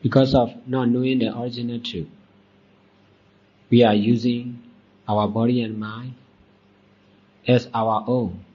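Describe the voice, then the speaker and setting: A man teaching as a Buddhist monk, leading a guided meditation in deliberate short phrases with long pauses. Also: medium pitch, little pitch movement, mellow, breathy edge. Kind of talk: guided meditation dhamma talk. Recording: dull, muffled lo-fi recording.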